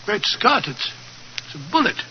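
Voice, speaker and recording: An actor playing an eager, kindly, bumbling English doctor in 1940s radio drama style, fussy and hesitant. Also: male voice, high voice, very animated, moderate pace, noisy background. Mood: eager, flustered. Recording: dull old 1940s radio recording.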